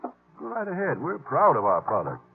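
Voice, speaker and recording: An inquisitive frontier traveler in a vintage radio western. His very raspy voice runs quickly and swings about in pitch, eager and courteous. The recording is muffled and narrow. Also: wildly swooping pitch, faint music behind, exaggerated voice. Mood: eager, proud. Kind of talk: 1950s western radio drama acting.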